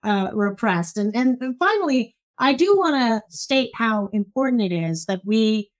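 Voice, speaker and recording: Female law professor from Egypt, guest; measured, emphatic, slightly high voice. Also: lively intonation. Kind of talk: interview, analytical explanation.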